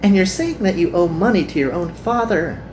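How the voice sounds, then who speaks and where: A woman voicing a kind, earnest young magical girl in a visual novel, with gentle and formal phrasing. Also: lowish voice, animated, moderate pace, slight background noise, lightly acted, poor audio. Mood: sympathetic.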